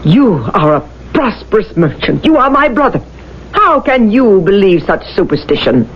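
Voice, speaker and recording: An actress playing a sharp, scornful, practical sister in a 1970s radio drama, speaking in a stern, theatrical way. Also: female voice, mid-range voice, big pitch swings, normal speed, natural voice. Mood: scornful, indignant. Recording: dull, noisy old radio recording.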